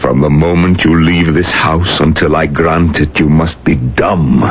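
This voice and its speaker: Stern old rabbi, super deep slow voice, grave preachy delivery, muffled old radio drama. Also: male voice, fairly even intonation, a little hiss or hum. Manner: grave, admonishing, deliberate oratory. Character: stern, solemn older male rabbi. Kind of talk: 1970s radio drama acting.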